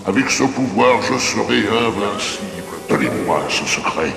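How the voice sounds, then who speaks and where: A man's voice: animated, a little slow, over music. A man voicing a menacing, power-hungry insect lord in a cartoon, with grandiose, commanding delivery. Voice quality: deep, gravelly. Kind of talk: theatrical cartoon villain acting. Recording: echoey hall-like reverb.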